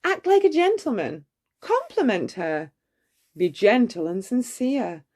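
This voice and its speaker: A woman's voice: a little high, huge pitch swings, a little slow, a little mellow, voice pushed up, full character voice. A woman voicing eager enchanted household servants in a storybook, emphatic and instructive. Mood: urging.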